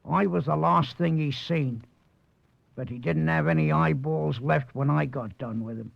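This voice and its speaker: Super creaky cold-blooded killer, old radio play, higher pitched, slow drawl. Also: male voice, dry recording, full character voice. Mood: grim, callous. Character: callous male murderer confessing a grisly killing. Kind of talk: 1950s radio drama acting. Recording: muffled old radio recording.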